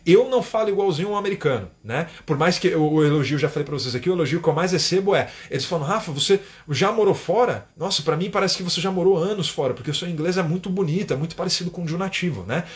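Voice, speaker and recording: Brazilian guy teaching english on a live stream, talks fast, kinda high voice, keeps saying né. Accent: Brazilian Portuguese. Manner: emphatic, frequent tag questions.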